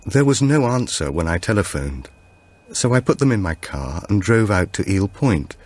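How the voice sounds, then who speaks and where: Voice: sits low, big pitch swings, moderate pace, a little mellow, voice pushed up. A man voicing an earnest grey-haired woman who runs a preschool, recounting in an audiobook thriller. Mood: earnest, sombre.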